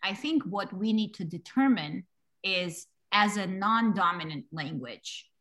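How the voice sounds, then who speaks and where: A woman in her forties, a language educator being interviewed, talking lively, laughing often and acting out the people she quotes. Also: medium pitch, unhurried. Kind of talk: conversational interview.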